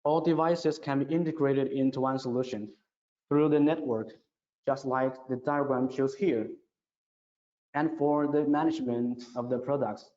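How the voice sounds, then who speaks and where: A man presenting a scripted product webinar in a careful, halting way, now and then repeating words. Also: medium pitch, dark tone. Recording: dull, echoey, reverberant online webinar audio.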